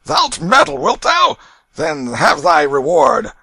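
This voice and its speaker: A man's voice: on the high side, animated, unhurried, vocal fry, bright, forceful tone, breathy edge, no echo, voice pushed up, exaggerated voice. A man voicing a brutish, hot-tempered ruffian father in a storybook, roaring and bullying in archaic English. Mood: furious. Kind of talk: dramatic storybook reading.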